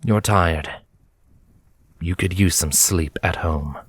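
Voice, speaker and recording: A man voicing a male pony superior officer in a storybook reading, smooth and silvery, with a patient, placating, measured manner. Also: low-pitched, exaggerated voice. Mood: calmly authoritative. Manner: placating, measured, condescending calm. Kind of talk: storybook character voice in fan fiction reading.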